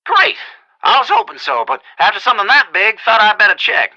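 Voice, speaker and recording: Game role: chatty old country shopkeeper, high creaky voice, dry recording, teasing and folksy. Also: male voice, sing-song, brisk, pushed and bright, no room sound, muffled recording, exaggerated voice. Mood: urgent, concerned. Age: older. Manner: folksy drawl, colloquial, teasing. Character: folksy, chatty old small-town storekeeper, a man. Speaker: small-town general store keeper. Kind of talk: video game character dialogue.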